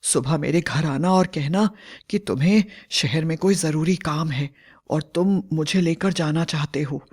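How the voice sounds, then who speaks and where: Man acting an earnest, higher-voiced village man. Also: unhurried, breathy edge. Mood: urgent. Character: village men, friends anxious over a witch.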